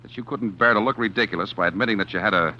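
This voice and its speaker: An actor playing a bitter, scheming estranged husband in a 1940s radio mystery, speaking in a halting, brooding way. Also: male voice, fairly low pitch, pushed and bright, a little hiss or hum. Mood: bitter, needling. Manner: hesitant, brooding, with halting repeated words. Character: bitter, scheming estranged husband narrating his own tale. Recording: dull 1940s radio recording with music.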